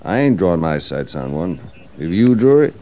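An actor playing a talkative, brooding cavalry veteran in an old radio western, speaking in a folksy American drawl. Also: male voice, low-pitched, very animated, moderate pace, dark tone. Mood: earnest, agitated. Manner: folksy drawling frontier soldier speech.

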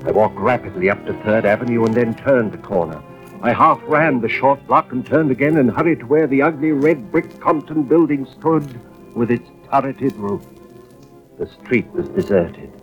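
An actor playing a weary, anxious husband who narrates a suspense tale in measured, dramatic 1940s-50s radio style. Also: male voice, medium pitch, natural voice. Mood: tense, brooding. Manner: measured, dramatic first-person storytelling. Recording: muffled vintage radio recording with music.

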